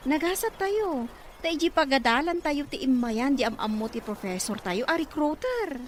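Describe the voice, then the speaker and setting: A woman's voice: high voice, expressive, moderate pace, slight background noise. A woman acting an eager young graduate in an Ilocano drama, speaking with an Ilocano accent. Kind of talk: amateur Ilocano radio-style drama acting.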